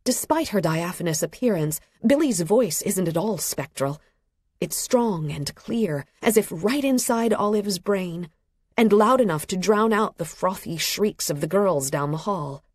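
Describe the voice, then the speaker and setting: Woman, audiobook narrator, unhurried pace, husky tone, expressive literary reading, dry close studio sound. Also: lowish voice, no echo. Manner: measured, expressive dramatic reading. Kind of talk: scripted literary fiction narration, present tense. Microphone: close studio microphone.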